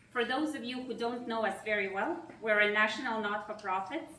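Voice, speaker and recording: A woman's voice: mid-range voice, sharp tone, far from the mic, slight background noise. Russian-accented woman speaking in an echoey hall. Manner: measured, emphatic delivery with pauses between phrases. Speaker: institute president giving opening remarks. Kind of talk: formal speech at a reception. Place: large reception hall with an audience. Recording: echoey hall, voice heard from afar.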